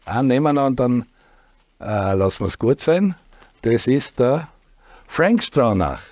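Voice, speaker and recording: A man's voice: medium pitch, very animated, a little slow, a little mellow, no room sound. A man with an Austrian accent hosting a talk show, chatty and joking as he leads with questions. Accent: Austrian German.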